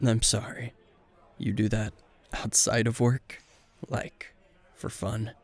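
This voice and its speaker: A man playing a gruff, weary, tipsy hero teacher who is dryly flirtatious, in an intimate, deadpan ASMR roleplay with a gravelly voice. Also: heavily acted. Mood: dry, teasing. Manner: low-key, dry, deadpan, unhurried.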